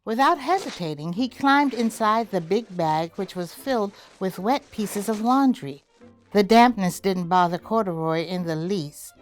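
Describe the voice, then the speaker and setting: A woman narrates a picture book for children. Her reading is expressive and deliberate, with emphatic phrasing and a lively, swooping pitch, over faint music. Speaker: library story reader.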